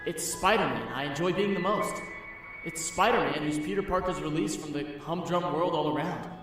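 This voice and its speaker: Guy doing a young superhero in a comic dub, kinda high voice, earnest and dramatic, music pretty loud behind him. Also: normal speed, natural voice, rough recording. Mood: conflicted, musing. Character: earnest young male superhero, lovestruck and torn about identity.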